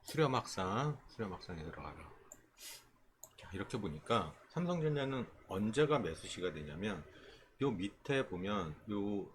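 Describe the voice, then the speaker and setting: A man giving an informal screen tutorial as a trading instructor, explaining conversationally and pausing mid-phrase. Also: lowish voice, very animated, slight background noise.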